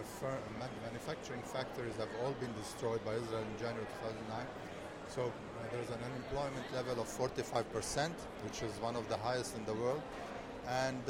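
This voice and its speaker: A man from Nazareth being interviewed outdoors, speaking earnestly and haltingly with many fillers and restarts. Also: mid-range voice. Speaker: interviewee, activist. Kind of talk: street interview. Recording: loud street traffic noise.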